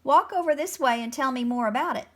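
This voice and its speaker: Small male mole for a storybook, woman performing: high squeaky pitch, eager, pleading manner. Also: quick pace. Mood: coaxing.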